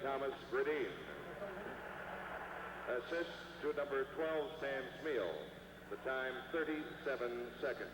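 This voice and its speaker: Male stadium announcer, formal and deliberate, heavy echo, noisy old broadcast sound. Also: mid-range voice. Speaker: arena public address announcer.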